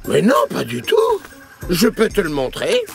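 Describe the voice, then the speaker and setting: A man voicing a quick-witted, cheeky folk-tale trickster in a French children's cartoon, with an innocently sly manner. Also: on the high side, wildly swooping pitch, gravelly, over music, heavily acted.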